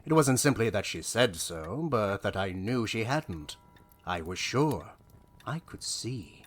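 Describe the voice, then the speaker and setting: A man playing a grave gentleman storyteller in an audio drama, speaking in a formal, theatrical way. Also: lowish voice, sing-song, takes it slowly, heavily acted. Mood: grave, haunted.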